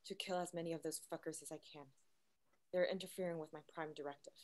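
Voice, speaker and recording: A woman playing a wounded, protective female android in a screenplay table read, hushed and hurried. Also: sits low, fairly even intonation, lightly acted. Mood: bitter, determined.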